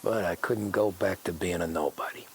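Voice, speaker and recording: Low-voiced streetwise American mobster. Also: male voice, steady tone, normal speed, vocal fry, a little hiss or hum. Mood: reflective, matter-of-fact. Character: weary, streetwise 1930s cabbie turned mob enforcer. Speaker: actor voicing the game's protagonist. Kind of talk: cinematic crime game dialogue and narration.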